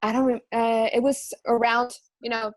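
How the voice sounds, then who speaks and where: A woman, a singer guesting on a podcast, chatting in a relaxed, easygoing way. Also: on the high side, normal speed, no echo, clean studio sound. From Mexico. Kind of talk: casual interview chat.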